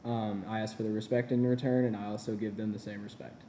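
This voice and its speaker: A man's voice: on the low side, flat delivery, fast, dark tone, echoey room, slight background noise. A man in his twenties, a small-business owner, giving earnest, encouraging answers in a casual interview. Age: 20s. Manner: earnest and encouraging, with repeated phrases.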